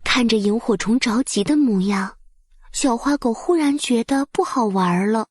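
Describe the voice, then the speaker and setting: A woman narrating a bedtime story for small children in a soft, breathy voice, with gentle, soothing and careful phrasing. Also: on the high side, expressive, moderate pace, dry recording, clean studio sound.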